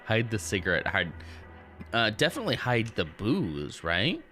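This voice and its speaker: A male streamer chatting over a game, joking and laughing a lot. His voice is deep but bright, and swings widely in pitch. Faint game music plays under him. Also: huge pitch swings, normal speed. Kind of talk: casual let's play commentary.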